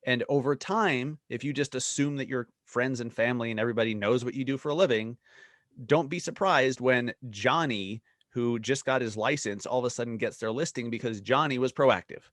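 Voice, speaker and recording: A man with a mid-pitched voice hosts a podcast, talking loosely and stumbling through restarts as he thinks aloud. The sound is dry and close. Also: a bit bright, no echo. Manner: conversational, halting, with restarts. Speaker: podcast host. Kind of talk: podcast interview.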